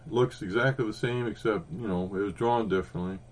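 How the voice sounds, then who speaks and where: Lower-voiced oklahoma guy in his 50s, rambly comics chat, lots of ums. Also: brisk. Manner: rambling storyteller, frequent ums and restarts. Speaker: podcast co-host. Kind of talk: casual chat about comics.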